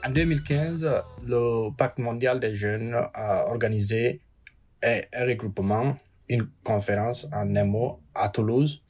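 A young man in his twenties from Guinea, a student and youth climate ambassador, speaking earnestly and a little haltingly. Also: medium pitch, a little mellow, soft background music. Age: 20s. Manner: earnest, halting, with fillers and repeated words. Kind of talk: interview testimony.

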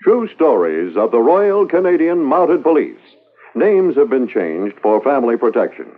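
A man's voice: medium pitch, big pitch swings, moderate pace. Dramatic 1950s radio announcer, man. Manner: dramatic, declaiming. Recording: tinny old radio with music.

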